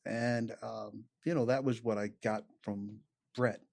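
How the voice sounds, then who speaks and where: A man, a chiropractor chatting casually on a podcast, animated and peppering his talk with "you know". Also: fairly low pitch, measured pace, creaky. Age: 50s. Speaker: chiropractor guest.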